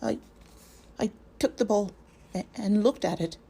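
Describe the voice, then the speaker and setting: A woman's voice: mid-range voice, dark tone, breathy edge. A woman voicing a frightened young male hobbit who stammers and halts as he speaks. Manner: stammering, halting.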